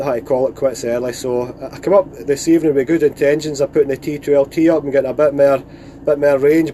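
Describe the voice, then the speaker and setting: A Scottish man talks on CB radio from his van. His voice sits a little high, and his delivery is chatty, peppered with operator jargon. A faint layer of noise runs behind him. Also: mellow. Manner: chatty, informal, radio procedure phrases. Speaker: radio net operator filming a live stream. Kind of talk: casual CB radio chat. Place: inside a parked van outdoors in the countryside.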